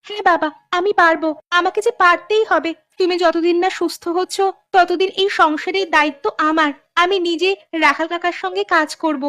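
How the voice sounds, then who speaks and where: A woman's voice: very high voice, fairly even intonation, fairly quick, bright, forceful tone, no echo. A woman voicing a dutiful, earnest young village daughter in a cartoon story. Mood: determined. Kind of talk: animated story voice acting.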